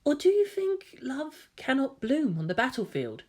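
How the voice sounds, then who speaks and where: A woman voicing a gentle, elegant teenage boy in a story reading, soft-spoken, polite and earnest. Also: sits high, huge pitch swings, measured pace, voice pushed up, exaggerated voice. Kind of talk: dramatic fan fiction character reading.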